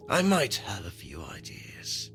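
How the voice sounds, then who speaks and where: A man's voice: fairly low pitch, wildly swooping pitch, takes it slowly, a bit bright, faint music behind, heavily acted. A man playing a curt, secretive maintenance worker trapped in an elevator, speaking in a terse, guarded way in an audio drama. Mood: grim, urgent. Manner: terse, weighted, guarded delivery.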